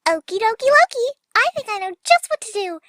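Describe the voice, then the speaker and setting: A woman voicing a hyper, bubbly young female pony who gushes in excitable chatter in a cartoon audio drama. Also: extremely high pitch, heavy background noise. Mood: giddy excitement. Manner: rapid-fire, gushing chatter. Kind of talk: animated fan audio drama.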